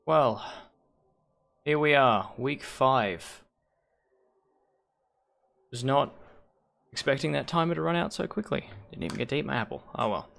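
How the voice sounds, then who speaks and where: A hoarse man hosting a league stream on a headset mic, rambling and correcting himself as he thinks aloud. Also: mid-range voice, lively intonation, unhurried, long pauses, fairly bright tone. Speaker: league host and shoutcaster. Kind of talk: casual livestream commentary.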